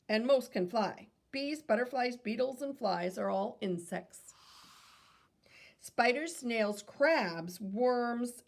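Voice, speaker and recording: A woman reading a children's nonfiction book aloud in a teacherly way, pausing for questions and small self-corrections. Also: on the high side, vocal fry. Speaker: read-aloud reader and teacher.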